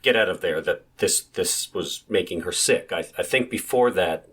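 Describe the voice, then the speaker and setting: A deep-voiced police marshal, played by a man, testifies in a period courtroom drama. His voice is husky, and his answers are terse, formal and dutiful. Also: normal speed, a bit bright. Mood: dogged, formal. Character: terse, dogged male police marshal on the witness stand. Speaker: actor reading a trial witness. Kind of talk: dramatic reading of an 1893 trial transcript.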